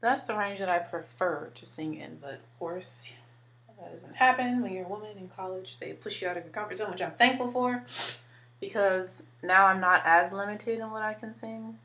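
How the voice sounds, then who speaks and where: A woman in her twenties with a deeper voice, chatting casually while doing her makeup and using lots of filler words. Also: moderate pace, bright, forceful tone. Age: 20s. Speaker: beauty vlogger. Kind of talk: casual makeup tutorial chat.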